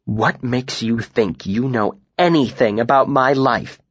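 A man voicing a proud, sharp-tongued young woman who speaks with biting scorn. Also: medium pitch, unhurried, husky, dry recording, highs cut off. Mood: cold disdain.

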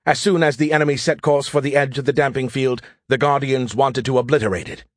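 A man voicing an arrogant, excitable alien artificial intelligence in an audiobook, with a sarcastic, condescending, theatrical manner. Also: mid-range voice, a little fast, dry recording, studio quality, lightly acted. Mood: exasperated. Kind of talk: audiobook character voice.

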